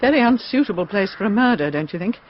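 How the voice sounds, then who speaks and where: An actress with an English accent playing a frank, rueful middle-aged mystery writer, musing aloud in a radio drama. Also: female voice, medium pitch, animated, fast, close to an everyday voice. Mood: wry, frank. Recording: dull, noisy radio drama recording.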